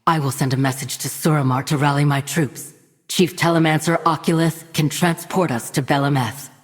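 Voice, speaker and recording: An actress playing an authoritative elf commander in a video game, with a husky voice in a reverberant hall. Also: female voice, sits low, steady tone, normal speed. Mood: resolute. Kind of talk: dramatic video game voice acting. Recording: long reverberant hall echo.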